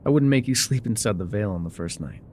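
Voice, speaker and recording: An actor playing a gruff, guarded male trail guide in an immersive audio roleplay, with wry, folksy, laconic phrasing. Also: lowish voice, animated, fast talker, a little mellow, a little hiss or hum, close to an everyday voice. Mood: quiet, awed. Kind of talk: immersive ASMR roleplay acting, talking to the listener.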